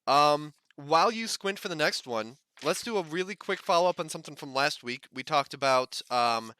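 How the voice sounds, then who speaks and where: A man's voice: on the high side, lively intonation, normal speed, sharp tone, clean tone, no room sound. A man co-hosting a gaming podcast, giving news follow-ups in a wry, self-deprecating way. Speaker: podcast co-host. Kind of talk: casual gaming news chat.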